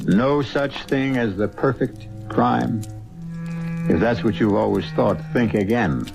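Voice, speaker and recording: A man hosting and narrating a radio mystery drama in an ominous, measured, old-time dramatic style. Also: mid-range voice, vocal fry. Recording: music under the voice. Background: music.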